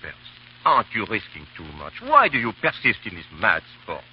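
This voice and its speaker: An actor playing an earnest, worried French patriot and loyal comrade in 1930s radio drama style, intense and dramatic. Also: male voice, on the low side, huge pitch swings, fairly quick, husky, full character voice. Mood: impassioned. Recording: muffled, hissy 1930s radio recording.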